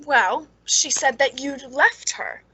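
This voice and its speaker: An actress plays a lonely, genteel woman of old radio suspense, with a very high, bright voice. She takes her time, hesitating politely and fluttering with nervous eagerness. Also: animated, takes it slowly, dry recording, full character voice. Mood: uneasy, probing.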